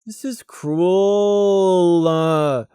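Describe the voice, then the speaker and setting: A woman voicing a petulant pegasus in an audio story, whining in drawn-out complaints. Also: lowish voice, warm tone, clean tone, no room sound, studio quality, voice pushed up. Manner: whiny, drawn-out. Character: Alto Heights, petulant whining flight-obsessed pegasus.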